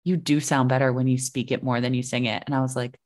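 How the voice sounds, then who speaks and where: A woman's voice: rumbling low, level tone, fast talker. A young woman, a singing coach, chatting on a podcast and breaking into sung demonstrations. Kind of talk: casual podcast chat.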